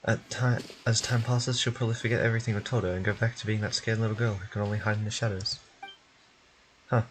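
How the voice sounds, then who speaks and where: A man's voice: on the low side, fairly even intonation, fast talker, a little hiss or hum, poor audio. Man reading game text aloud.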